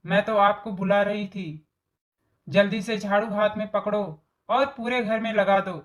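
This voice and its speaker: A scheming young daughter-in-law from a storybook tale, acted by a woman narrator. She scolds and gives orders with a short temper, at an unhurried pace. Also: mid-range voice, steady tone, close to an everyday voice. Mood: bossy, scolding. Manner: commanding, scolding.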